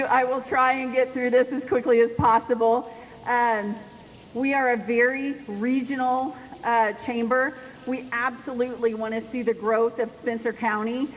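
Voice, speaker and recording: A soft-spoken woman with a Southern Indiana accent giving an unhurried, chatty report as executive director at an annual meeting in a banquet hall. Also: fairly high pitch, dull audio. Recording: reverberant hall with faint background noise.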